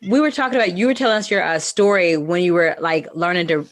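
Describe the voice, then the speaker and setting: A woman's voice: fairly low pitch, quick pace. A woman hosting a podcast, asking questions in an easygoing way. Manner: easygoing, with short listening replies. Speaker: podcast host. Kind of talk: casual interview.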